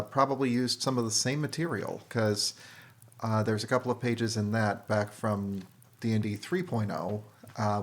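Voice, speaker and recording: Male panelist, fairly low voice, conversational delivery. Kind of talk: casual chat.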